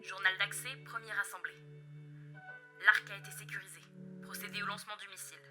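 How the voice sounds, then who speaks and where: A woman's voice: medium pitch, little pitch movement, brisk, sharp tone, soft background music. A woman playing a cold, impersonal official reading out excerpts of a secret access log in terse scripted sentences.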